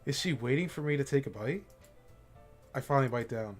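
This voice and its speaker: A man's voice: medium pitch, expressive, a little fast, faint music behind. A young man streaming a game, reading its story aloud and adding wry, joking asides. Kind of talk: reading a visual novel aloud with commentary.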